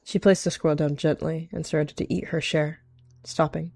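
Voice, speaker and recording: Low-voiced female story narrator. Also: fairly even intonation, moderate pace, creaky, warm tone. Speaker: roleplay narrator.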